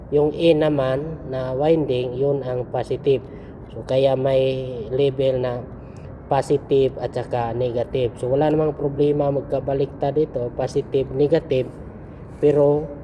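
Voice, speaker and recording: A man with a Filipino accent talks through an informal hands-on tutorial over clear background noise. His delivery is slow and halting, broken into short phrases, with a medium-pitched voice. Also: mellow, low-quality recording. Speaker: electronics tutorial presenter.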